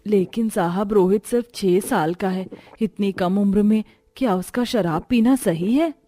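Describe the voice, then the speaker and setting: A woman playing a kind, dutiful poor mother and housemaid in a cartoon story, speaking gently and instructively. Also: medium pitch, measured pace, dry recording, clean studio sound, lightly acted. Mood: concerned, respectful.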